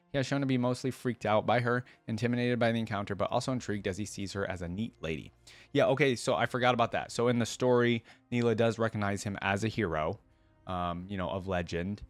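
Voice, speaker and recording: A man's voice: fairly low pitch, dry recording. A man hosting a reaction video, reading lore aloud and breaking off into casual, conversational musing.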